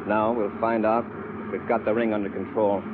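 An American actor playing a decisive space patrol commander in a 1950s radio drama, speaking with brisk authority on a tinny, noisy old recording. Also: male voice, fairly low pitch, flat delivery, lightly acted. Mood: commanding.

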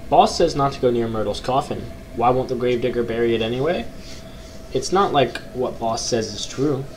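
A young man with a low voice commentating a horror game from his bedroom, joking with mock bravado and reading the game's text aloud. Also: moderate pace, darkish tone. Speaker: gaming YouTuber. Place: small bedroom, indoors. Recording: noisy home recording.

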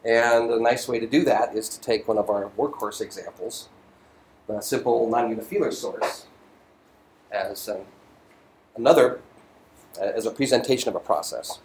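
A man giving an informal university physics lecture, halting as he thinks aloud. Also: medium pitch, a little mellow. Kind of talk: lecture voiceover on slides, informal explaining. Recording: roomy echo, faint background noise.

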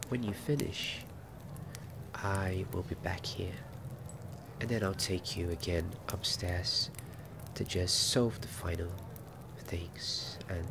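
Man playing a soft, low, creaky-voiced innkeeper. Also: full character voice. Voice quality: soft, breathy, often dropping to whisper. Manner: gentle, soothing, unhurried. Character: kindly, attentive male innkeeper of a wizarding pub. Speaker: ASMR roleplayer. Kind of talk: ASMR fantasy roleplay. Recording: background ambience noise under close voice.

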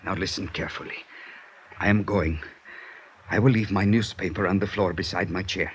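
A man plays an anxious, secretive informant in a 1950s radio drama. He talks low, hushed and urgently in careful phrases, on a muffled recording with faint noise. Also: fairly even intonation, normal speed, lightly acted. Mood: furtive urgency.

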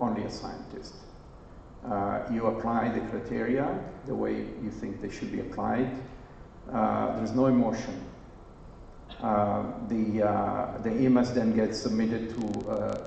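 An older man from Italy, a marine scientist, speaks with passion and rhetorical force, breaking his sentences with frequent fillers. His voice is low and gravelly. The recording sounds distant and muffled, and he echoes through a large hall with a long reverb. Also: darkish tone, slight background noise. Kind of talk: onstage dialogue, impassioned. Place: large hotel conference hall, on stage.

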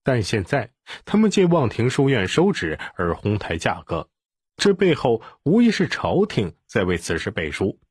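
A man narrating an audiobook in an expressive storytelling style. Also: medium pitch, normal speed, breathy edge, no room sound, studio quality.

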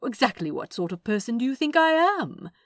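Woman playing a prim, indignant male angel, animated. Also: fairly high pitch, a little fast, no echo, studio quality. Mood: smug. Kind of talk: fan fiction dialogue reading.

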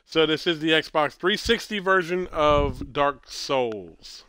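Guy in his 30s with a cold, stuffy but smooth voice, kinda high, rambles while he plays. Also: slow pace, bright, forceful tone, no room sound. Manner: rambling, self-correcting. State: stuffy with a cold, clearing throat. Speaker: streamer host. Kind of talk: casual gameplay stream talk.

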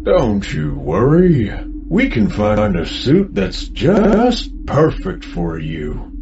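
Gravelly, menacing animatronic bear. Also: male voice, on the high side, wildly swooping pitch, background noise, full character voice. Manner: slow, drawn-out threats. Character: menacing withered animatronic bear, mock-welcoming predator. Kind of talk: animated horror game voice lines. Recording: dull lo-fi audio under music.

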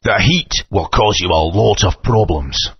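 An actor playing a calm, kindly male guide who gives advice. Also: deep voice, a little slow, husky, muffled recording, full character voice. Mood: advising.

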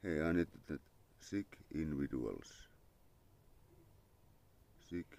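A young man with a Finnish accent streams from an outdoor yard, talking into a phone held at arm's length. His voice sits very low and gravelly, and he drawls slowly. He rambles, mutters to himself, and calls out thanks to new followers. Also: expressive, darkish tone. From Finland. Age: young adult. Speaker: live streamer. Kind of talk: casual live stream chat. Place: outdoor yard under a parasol. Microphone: phone camera at arm's length.

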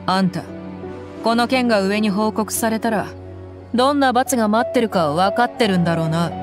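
Picture a woman playing a rough-edged female mercenary who makes blunt, commanding threats in a game. Her voice sits at a middle pitch. Loud game music plays behind her. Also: moderate pace, lightly acted. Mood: stern. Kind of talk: Japanese game dub.

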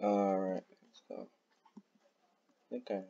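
A young man chatting over his own gameplay in a low voice, rambling, full of fillers and quick bursts of excitement. His mic sounds dull and lo-fi, with a faint hiss and keyboard clicks behind him. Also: creaky. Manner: excitable, laughs often, rambling with many fillers. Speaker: gaming YouTuber. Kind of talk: casual gameplay commentary.

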